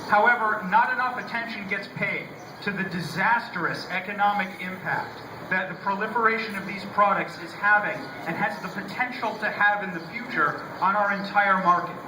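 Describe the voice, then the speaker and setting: An American man, a state representative with a loud voice, giving a prepared speech into a microphone at an outdoor rally. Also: high voice, moderate pace, hardly any pauses. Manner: loud-voiced, conversational with hesitations at first. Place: outdoors at a rainy-day rally. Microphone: public-address microphone, recorded from a distance. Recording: echoey outdoor PA, distant, heavy noise.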